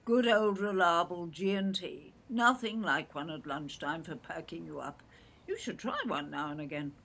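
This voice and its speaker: A woman's voice: fairly low pitch, moderate pace, fairly bright tone. An actress playing an imperious, talkative older English mother in an audio play, with a haughty, theatrical manner. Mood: grandly lamenting. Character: imperious, talkative older mother, grandly aggrieved, gin-drinking. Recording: clear background noise, outdoor ambience.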